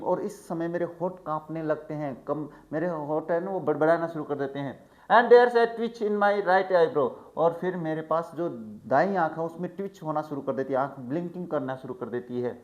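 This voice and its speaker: A male teacher in a chatty lesson, who reads English lines slowly and then explains each one in Hindi. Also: a little high. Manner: chatty, addresses students as "bhai". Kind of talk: line-by-line textbook explanation.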